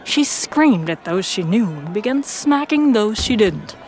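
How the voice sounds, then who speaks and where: A woman's voice: medium pitch, big pitch swings, measured pace, darkish tone, faint music behind. A woman reading scripted first-person narration for a fiction audio drama. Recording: clear background noise and ambience.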